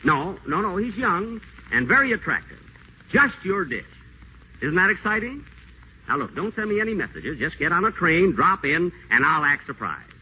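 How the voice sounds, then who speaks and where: A sardonic pompous schemer. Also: male voice, mid-range voice, very animated, fairly quick, husky, exaggerated voice. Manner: sardonic, theatrical, imperious. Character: acerbic, scheming, pompous man in a wheelchair. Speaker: radio celebrity. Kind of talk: 1940s radio acting. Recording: tinny old radio broadcast, faint hiss.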